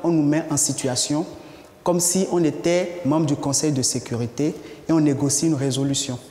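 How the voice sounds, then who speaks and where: Mellow-voiced man in an echoey hall. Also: fairly high pitch, steady tone, moderate pace. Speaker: international student. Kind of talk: testimonial interview. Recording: film replayed in echoey, noisy hall.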